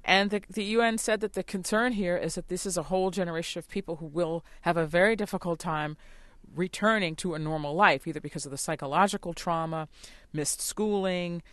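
A woman's voice: lowish voice, brisk, no room sound. A woman hosting a conversational news interview, probing and thinking aloud as she forms her questions. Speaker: interviewer, host.

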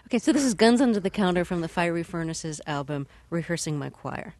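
A woman hosting a radio interview, speaking in a measured, thoughtful way. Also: fairly low pitch, expressive, a little mellow, soft background music. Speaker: radio host.

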